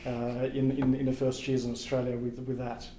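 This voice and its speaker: A man's voice: medium pitch, little pitch movement, fairly quick, dark tone, reverberant, slight background noise. A man with a Russian accent, whose first language is Russian, talking thoughtfully as a podcast guest and searching for his words with frequent restarts. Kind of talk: casual podcast interview.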